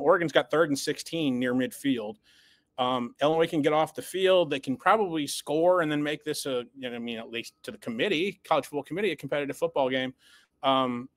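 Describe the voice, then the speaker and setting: A man's voice: mid-range voice, no room sound. A man co-hosting a sports podcast, giving post-game analysis in an emphatic, blunt way and stumbling over repeated words as he builds up steam. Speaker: podcast co-host.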